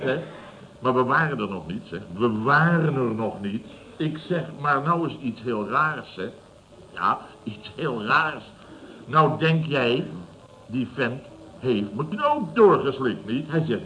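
An actor playing a boastful, boisterous builder boss who tells stories in a repetitive, blustering way in a radio comedy. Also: male voice, on the high side. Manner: blustering, repetitive storyteller acting out quotes. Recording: dull old radio recording, studio audience.

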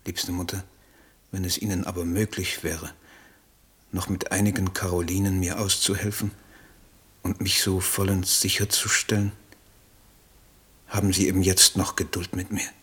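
An actor playing an idealistic, troubled German poet, speaking in a solemn, declamatory radio drama manner. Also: male voice, low-pitched, level tone, normal speed, pauses often, fairly bright tone, breathy edge. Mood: pleading, hesitant. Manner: solemn, declamatory, elevated diction. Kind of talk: literary radio drama monologue.

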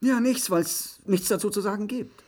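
A man's voice: high-pitched, moderate pace, a little mellow. An actor playing a dismissive, evasive industry spokesman in a German radio play, formal and defensive.

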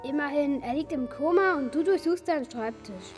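An actress playing a cheeky, precocious young daughter with dry wit in a German radio drama. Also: female voice, high-pitched, lively intonation, normal speed, soft background music. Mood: pert.

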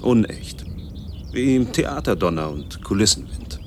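A man's voice: fairly low pitch, very animated, unhurried, background noise. An actor playing a lonely, bitterly brooding man in his forties in a radio drama monologue, speaking in clipped, literary fragments. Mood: grim, intense. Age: 40s.

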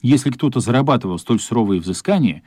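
A man's voice: medium pitch, expressive, fast talker, a little mellow, husky, no echo, studio quality. A man narrating a radio play as dramatic scripted storytelling, expressively voicing the characters' thoughts.

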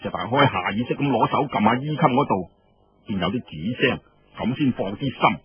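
A man's voice: mid-range voice, expressive, moderate pace. A man with a Cantonese accent narrating a story in a dramatic, colloquial style with conversational asides. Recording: dull lo-fi radio-style audio, faint noise.

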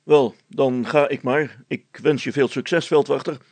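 A man voicing a firm schoolmaster who speaks deliberately and repeats himself with ominous, knowing insistence in a story. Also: mid-range voice, fairly even intonation, a little mellow, husky, no room sound. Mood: firm, teasing.

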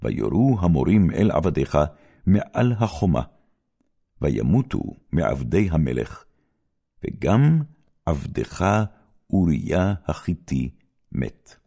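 A man with a very low, creaky voice narrates scripture. His delivery is solemn and measured, yet highly expressive, with wide swings of intonation that give the biblical story dramatic shape.

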